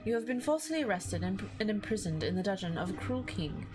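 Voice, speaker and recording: Woman on a game stream, reading out the text and chatting, mid pitch, music playing behind. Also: very animated, fast talker.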